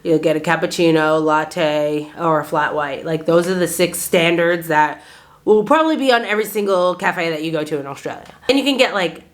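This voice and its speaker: A woman in her thirties from the Boston area, talking to camera in a loose, rambling way. Her voice sits a little low for a woman, and her accent is mostly general American with a faint Boston edge. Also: fairly quick. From Boston area, United States. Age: 30s. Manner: chatty, rambling, many "like"s, laughs often. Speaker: vlogger. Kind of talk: casual chat to camera.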